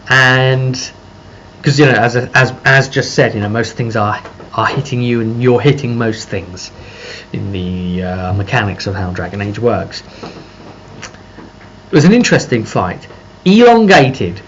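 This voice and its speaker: A man speaking casually and unscripted as a game master recounting a tabletop session, rambling as he pieces the story together. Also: medium pitch. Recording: dull lo-fi microphone with faint noise.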